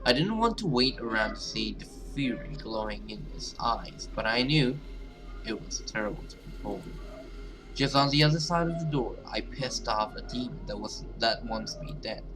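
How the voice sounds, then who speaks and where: A man's voice: medium pitch, lively intonation, moderate pace, music in the background, poor audio. A man hosting a gaming video, reading story text aloud dramatically and reacting with casual asides.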